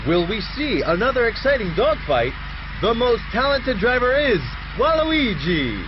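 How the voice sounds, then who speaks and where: A hyped race announcer. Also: male voice, sing-song, unhurried, exaggerated voice. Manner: rising hype on course names, swinging pitch. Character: peppy male race broadcaster, upbeat. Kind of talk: excited game announcer. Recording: dull game audio with noise.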